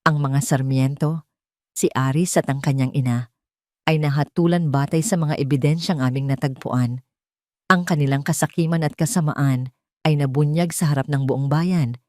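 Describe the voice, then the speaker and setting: A woman's voice: low-pitched, dark tone, dry recording, studio quality. A woman with a Filipino accent reading a scripted first-person dramatic story as its narrator.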